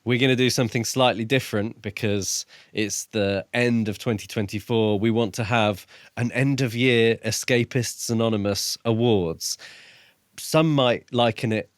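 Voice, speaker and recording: A man's voice: medium pitch, fairly even intonation, normal speed, no room sound. A man co-hosting a casual book discussion podcast, talking with dry, wry humour. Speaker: podcast co-host.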